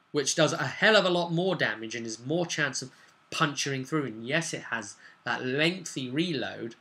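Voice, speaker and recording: Male game master, medium-pitched and very bright, animated and informal, retelling a tabletop session off the cuff. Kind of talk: casual unscripted recap narration.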